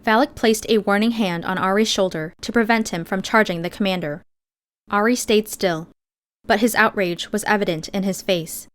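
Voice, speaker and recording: Female narrator, medium pitch, scripted first-person story, audiobook reading. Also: normal speed.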